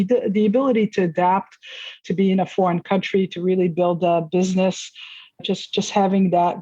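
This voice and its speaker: A woman's voice: fairly low pitch, warm tone, no echo, highs cut off. A middle-aged woman from Lebanon, a finance executive answering interview questions casually, with many fillers and restarts. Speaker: finance executive, podcast guest.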